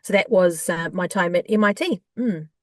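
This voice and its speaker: A woman with a New Zealand accent, a guest in an interview, talking in a warm, chatty way with frequent fillers. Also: fairly low pitch, normal speed, dry recording, clean studio sound. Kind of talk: conversational interview.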